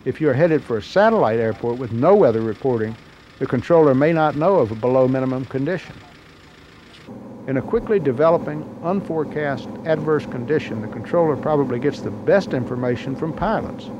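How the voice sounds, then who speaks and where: A man's voice: medium pitch, expressive, fairly quick, darkish tone. A man reading scripted instructional narration for pilots in a plain-spoken, explanatory manner. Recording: muffled, noisy old training tape.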